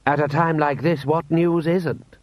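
A man's voice: fairly high pitch, fairly even intonation, a little slow, no echo, pitched above the performer's own voice, natural voice. A man voicing a haughty, stiff military lord with pompous, condescending formal speech.

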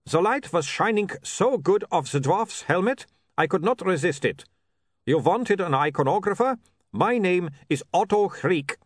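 Guy doing a high loud formal vampire guy, foreign accent, prim and eager, dry sound. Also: animated, unhurried, no echo. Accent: Uberwald. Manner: formal stiff phrasing, earnest. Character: eager prim male vampire photographer, young.

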